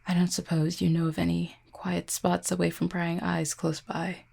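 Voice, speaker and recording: A woman playing an injured, proud and guarded cupid in an intimate audio roleplay, speaking formally and wryly in a husky, pained voice with weary sighs. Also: fairly low pitch, flat delivery, a little mellow, exaggerated voice. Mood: pained, strained. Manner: formal, wry wording with weary sighs and hesitant pauses. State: in pain, strained.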